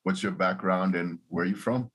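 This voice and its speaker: A man's voice: low-pitched, normal speed, a bit bright, slightly rough. A man hosting a podcast, introducing the show in a laid-back conversational way. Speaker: podcast host. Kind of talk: casual interview chat.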